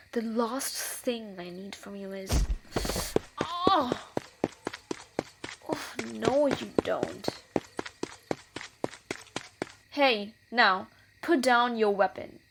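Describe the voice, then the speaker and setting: A woman's voice: a little high, creaky, fairly bright tone, slight background noise, exaggerated voice. A woman playing a wily, menacing thief in a scripted fantasy audio roleplay, with a theatrical delivery. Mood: threatening.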